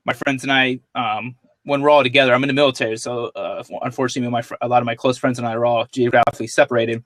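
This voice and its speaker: A man's voice: medium pitch, level tone, very fast, a bit bright, dry recording. A man hosting a sports podcast, upbeat and loose, joking with his guest. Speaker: podcast host. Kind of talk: casual podcast chat.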